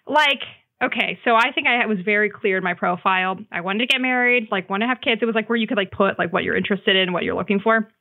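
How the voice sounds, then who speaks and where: A woman's voice: mid-range voice, fast, vocal fry, hardly any pauses. A woman with a mid-range voice tells personal stories in a loose, conversational way as a podcast guest. She acts out bits of dialogue and peppers her sentences with "like." The audio is thin and band-limited, like a phone call. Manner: animated retelling with acted-out quotes, frequent "like". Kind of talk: casual chat, storytelling.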